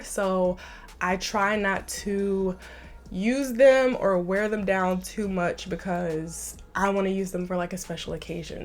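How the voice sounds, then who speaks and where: A young woman with a stuffy nose from a slight cold, chatting enthusiastically to camera in a casual fashion vlog. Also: medium pitch. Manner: chatty and enthusiastic, says "like" often. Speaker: fashion vlogger.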